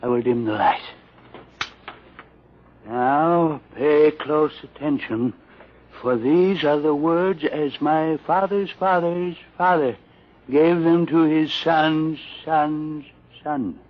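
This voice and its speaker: Old solemn legend keeper, slow chanting delivery, higher male voice, old radio drama. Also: fairly even intonation. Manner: slow, incantatory, oracular recitation. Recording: muffled old radio recording with music behind.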